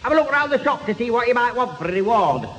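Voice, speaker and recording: A man's voice: extremely high pitch, sing-song, fast, fairly bright tone, exaggerated voice. An actor playing a fussy, suspicious, officious shopkeeper in a radio sitcom, piling up synonyms as he talks. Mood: businesslike. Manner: repeats himself in synonyms, officious. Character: fussy, suspicious, pedantic male toy shopkeeper. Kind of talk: 1970 radio sitcom acting. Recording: noisy old radio recording, long reverb.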